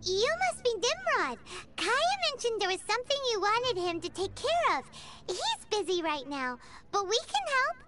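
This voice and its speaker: A woman voicing a small, childlike fairy companion in a video game, squeaky, curious and perky. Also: sing-song, normal speed, loud music behind the voice.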